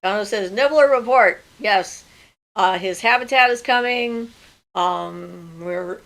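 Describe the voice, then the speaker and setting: A woman's voice: mid-range voice, takes it slowly, gravelly, a bit bright. An older woman hosting a livestream from home, chatting casually and answering viewers' questions as she thinks aloud. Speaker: host of a magic Q&A livestream.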